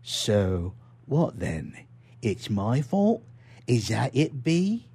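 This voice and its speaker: Man playing Lucifer, a husky exasperated chief executive. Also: mid-range voice, sing-song, drawn-out words, dark tone, exaggerated voice. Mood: dangerously calm. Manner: tense, irritable. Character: Lucifer, dangerously calm, exasperated male corporate chief executive.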